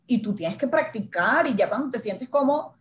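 A woman who works as a TV news reporter tells her story in a conversational interview, acting out the dialogue with lively energy. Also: a little high, moderate pace. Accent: Spanish-speaker's accent in English.

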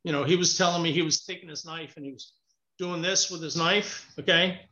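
A man's voice: a little high, a little fast. A man from Cuba, a guest author, telling stories in a casual interview over a remote call and talking with his hands. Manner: animated storyteller, talks with his hands. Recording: echoey, muffled call audio.

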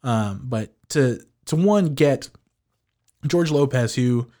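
Man, podcast co-host, casual movie chat, animated, stumbling restarts, mid-pitched voice. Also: slow. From Filipino heritage, living in the United States.

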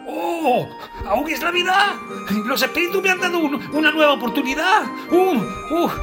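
High-pitched, stammering old miser. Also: male voice, expressive, normal speed, music in the background. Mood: overjoyed. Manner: stammering, exclaiming. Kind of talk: amateur audio drama acting.

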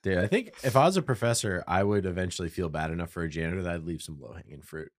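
A young man on a comedy podcast, building jokes with mock-serious, animated setups. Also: sits low, racing. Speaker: podcast co-host. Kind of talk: casual comedic banter.

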